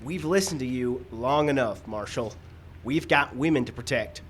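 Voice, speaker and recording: A man playing an anxious, defiant frontier townsman in a radio western, husky and insistent. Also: mid-range voice, normal speed, slight background noise, lightly acted. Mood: agitated, defiant. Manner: insistent, argumentative. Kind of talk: old-time radio western acting.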